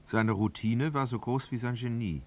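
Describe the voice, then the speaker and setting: A man narrating a literary radio drama in a measured, articulate, scripted manner. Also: mid-range voice, darkish tone, slight background noise.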